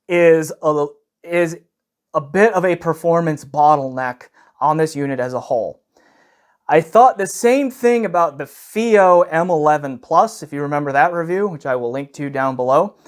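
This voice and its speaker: Man, slightly high voice, gear reviewer, unscripted, explanatory, frequent "okay" check-ins.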